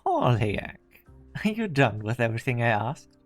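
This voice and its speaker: A man's voice: medium pitch, sing-song, moderate pace, soft background music, lightly acted. Man as research director, cold, impatient, commanding, condescending, scripted game dub.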